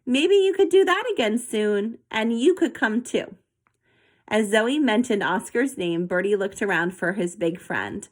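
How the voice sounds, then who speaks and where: A woman reading a children's story aloud with an expressive, animated delivery. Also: medium pitch. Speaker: storybook reader.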